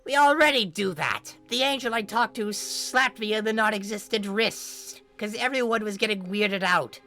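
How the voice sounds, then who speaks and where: A woman voicing a large, laid-back female snake in a story, soft and casually wry as she explains things. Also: medium pitch, normal speed, faint music behind, pitched above the performer's own voice. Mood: wry, matter-of-fact.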